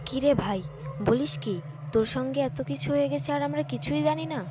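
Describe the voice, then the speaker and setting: A woman's voice: high voice, moderate pace, noisy background, close to an everyday voice. A woman playing an exasperated, fussy wife and elder sister who scolds in a cartoon drama. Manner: fussy, complaining. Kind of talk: animated folk-tale voice acting. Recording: muffled audio under loud music.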